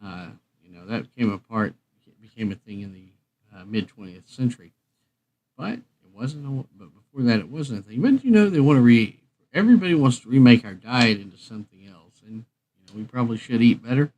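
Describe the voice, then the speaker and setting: A man's voice: mid-range voice, expressive, brisk, darkish tone, poor audio. A man hosting a music livestream, chatting casually in a rambling, meandering way.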